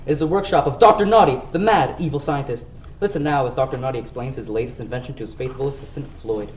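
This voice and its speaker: A man's voice: medium pitch, fast, a little hiss or hum, natural voice. A man playing a melodramatic radio serial announcer, narrating in a grandiose manner. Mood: grand. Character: dramatic old-time radio serial announcer. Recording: echoey room.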